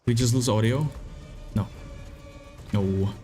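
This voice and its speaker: A man's voice: mid-range voice, slow, mellow, faint music behind. A young man with a Czech accent, a game streamer chatting casually with viewers, swearing and reading chat aloud. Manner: laid-back, swears, reads chat aloud.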